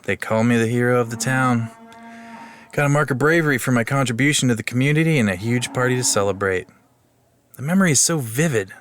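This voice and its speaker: An actor playing a cocky, self-adoring adventurer in a comedic fantasy audio drama. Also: male voice, medium pitch, brisk, close to an everyday voice. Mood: boastful storytelling. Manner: boastful, swaggering, self-satisfied. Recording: busy sound effects behind voice.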